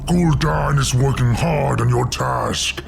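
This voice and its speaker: An actor playing a pleading, servile orc warlock with a gravelly, strained, deferential voice in a game cutscene. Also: male voice, medium pitch, slow pace, background noise. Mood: pleading, anxious. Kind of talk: video game cutscene acting. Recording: loud music under the voice.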